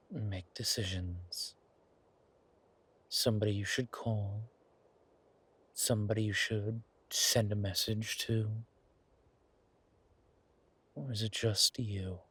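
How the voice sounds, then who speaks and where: A man playing a suave, menacing, hungry vampire in an ASMR horror roleplay, with a softly taunting, drawn-out delivery. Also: lowish voice, fairly even intonation, breathy edge, heavily acted. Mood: hushed menace. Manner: slow, drawn-out, softly taunting, often whispering.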